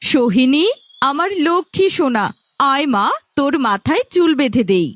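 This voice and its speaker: A woman playing a sharp-tongued mother who dotes on her spoiled daughter, in a melodramatic cartoon fairy-tale style. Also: sits high, expressive, moderate pace, no room sound. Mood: coaxing. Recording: faint background music.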